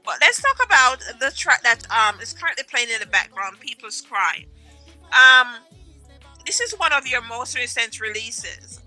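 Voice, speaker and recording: A woman hosting an interview, upbeat, with frequent ums, giving shout-outs. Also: a little high, sing-song, moderate pace, soft background music, poor audio. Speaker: interview host.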